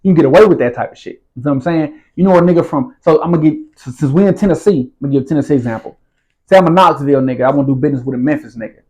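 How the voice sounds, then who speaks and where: Interview guest: man, slightly high voice, relaxed streetwise delivery, keeps checking in with the listener. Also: rapid-fire, poor audio. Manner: streetwise slang, repeats "you feel what I'm saying". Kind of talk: casual storytelling interview.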